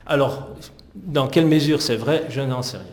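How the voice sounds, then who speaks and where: A man's voice: mid-range voice, normal speed. Hesitant middle-aged man, roomy sound. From Netherlands. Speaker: interviewed physiotherapist. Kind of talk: conversational interview answers recounting personal history.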